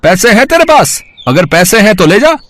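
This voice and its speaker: A man playing an irritable, uncaring fellow, brusque and dismissive, in an animated moral story. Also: high voice, normal speed, dry recording.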